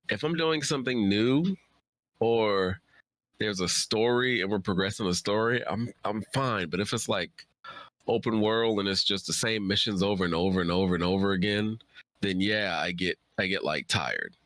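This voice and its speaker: A man's voice: on the low side, animated, normal speed, a bit bright, no echo. A man in a casual interview chat, answering as a guest in an informal, agreeable way.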